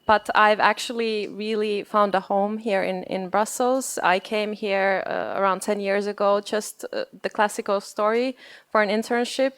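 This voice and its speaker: A Finnish woman in her thirties, a native Finnish speaker, answering on a panel in a friendly, measured way. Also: mid-range voice, fairly bright tone, clean tone. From eastern Finland. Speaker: business association representative.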